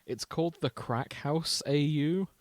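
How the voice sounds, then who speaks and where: A man's voice: fairly high pitch, unhurried, no room sound. A man co-hosting a podcast in casual chat, with a jokey, giggly manner. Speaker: podcast co-host.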